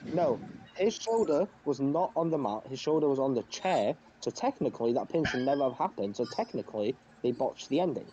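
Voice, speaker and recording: A man's voice: mid-range voice, lively intonation, a little fast, mellow. Dull-sounding man debating on a podcast. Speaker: wrestling podcast panelist. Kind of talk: casual debate. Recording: dull lo-fi mic, faint noise.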